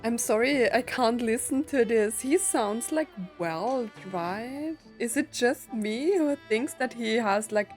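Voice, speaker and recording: A woman's voice: a little high, takes it slowly, hardly any pauses. A woman gaming streamer giving casual let's play commentary, often wondering aloud in questions. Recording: faint game music behind.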